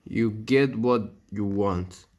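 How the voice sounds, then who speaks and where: Slow, halting man, native Persian speaker. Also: lowish voice, darkish tone. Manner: halting, many fillers and restarts, non-native phrasing. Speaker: tech tutorial maker. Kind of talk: screen-recorded walkthrough narration.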